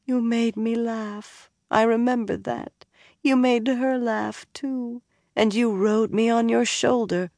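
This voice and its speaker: A woman voicing a tipsy, proud young noblewoman who turns tearful, in a high, pleading voice. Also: steady tone, slow pace, darkish tone, dry recording, studio quality.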